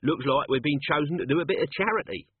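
A man's voice: a little high, quick pace, slightly rough, no room sound, voice pushed up. A man voicing an offhand, streetwise male corporal. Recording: tinny lo-fi audio.

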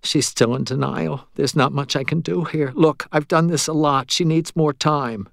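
A man's voice: a little high, fairly even intonation, moderate pace, creaky, no echo, clean studio sound, pitched above the performer's own voice. A man voicing a nervous, put-upon male medium in an audiobook, with a pleading, hesitant, apologetic manner. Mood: exasperated.

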